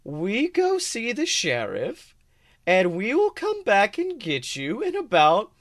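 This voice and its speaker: Man playing a high-pitched, placating adventurer. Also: wildly swooping pitch, slow pace, a bit bright, exaggerated voice. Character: calm, evasive male adventurer placating a stranger.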